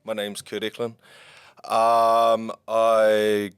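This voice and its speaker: A man in his thirties with a New Zealand accent, chatting casually as a podcast guest in a relaxed, laid-back way. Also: on the low side, extremely slow, clear, resonant tone, no room sound, studio quality. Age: 30s. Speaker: podcast guest, rugby player. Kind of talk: casual chat.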